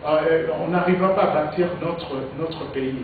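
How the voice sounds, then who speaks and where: Man from Kinshasa, halting and echoey. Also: a little high, steady tone. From Congo (Kinshasa). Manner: halting, repeats words, many fillers. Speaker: politician, presidential hopeful. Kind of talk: interview answers. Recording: echoey, distant, phone-like with noise.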